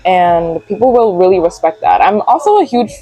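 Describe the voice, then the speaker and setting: Mellow-voiced young woman chatting casually. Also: medium pitch, sing-song, moderate pace, no echo, poor audio. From Guyanese heritage. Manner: chatty, frequent "like" fillers. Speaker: magazine founder, guest. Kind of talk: casual interview chat. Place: cafe and lounge.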